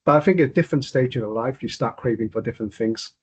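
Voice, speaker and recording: This is a man in his forties with a husky, slightly breathy voice, talking easily as a guest on a podcast. His manner is relaxed and wry. The recording sounds dull and muffled. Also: medium pitch, fast. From Hong Kong. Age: 40s. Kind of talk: casual chat.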